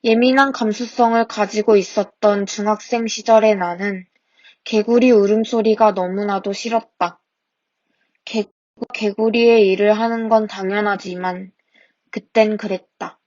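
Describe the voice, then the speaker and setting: A young woman reads her own essay in a soft, reflective voice at a middle pitch, pausing now and then to fix a word. The recording is close and dry with no room sound, and slightly dull and lo-fi, like a simple home mic. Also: steady tone, no room sound. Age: 20s. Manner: soft, reflective reading with small self-corrections. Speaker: podcast host reading her essay. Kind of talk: reading a personal essay aloud.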